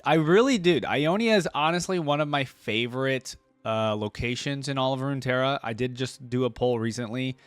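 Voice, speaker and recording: A man with a medium-pitched voice gives casual reaction commentary as a video host. He talks informally and with emphasis, addressing viewers directly. The sound is dry, with very little room in it. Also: clean tone, no room sound.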